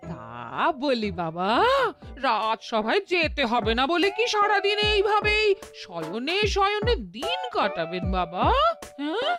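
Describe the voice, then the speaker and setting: A woman's voice: sits high, huge pitch swings, measured pace, over music, full character voice. A woman voicing a nagging, sardonic village housewife in a Bengali cartoon. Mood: nagging, sarcastic.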